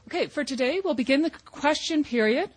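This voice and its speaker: Lady running a public forum, kinda high voice, reads out announcements, some restarts. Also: expressive, brisk. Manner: businesslike, with restarts. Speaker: forum moderator.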